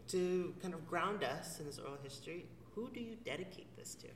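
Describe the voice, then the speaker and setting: A man conducts an oral history interview in a measured, formal way, moving from a read introduction to gentle questions. He sits well away from the mic in an echoey theater hall with a long reverb tail. Clear room noise runs under his voice. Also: sits high, lively intonation. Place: theater venue. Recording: distant mic in a roomy, noisy hall.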